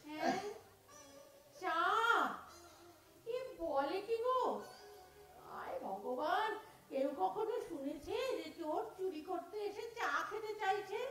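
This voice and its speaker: A very high, lively voice belongs to an indignant middle-aged housewife who throws out theatrical exclamations, as a woman acts her in a radio play. She speaks slowly and deliberately. The voice sounds far off and echoes heavily around the room. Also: heavily acted. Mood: astonished.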